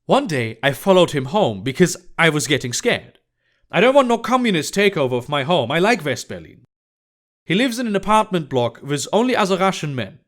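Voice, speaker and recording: Brash audiobook sex worker, female male reader, higher-pitched, curt, irritated and dismissive. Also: big pitch swings, fairly quick. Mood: brusque. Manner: blunt, dismissive. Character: brash, irritated adult woman sex worker, blunt and scornful. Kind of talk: audiobook character dialogue.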